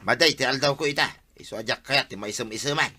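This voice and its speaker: A man's voice: mid-range voice, fast talker, sharp tone, husky. An actor playing a cranky, hot-headed man with an Ilocano accent in a radio comedy drama. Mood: irritated.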